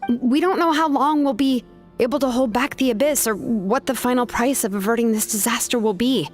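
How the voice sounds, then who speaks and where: A woman's voice: on the high side, fairly quick, faint music behind, close to an everyday voice. A woman voicing an earnest, resolute young craftsman in a video game, sincere, polite and ready for self-sacrifice.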